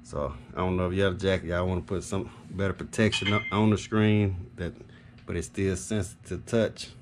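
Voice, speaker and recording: A man's voice: low-pitched, steady tone, normal speed, background noise. A man giving a casual, rambling, matter-of-fact product update as an HVAC technician on a handheld camera. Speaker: HVAC technician and video host. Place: small carpeted home office, indoors.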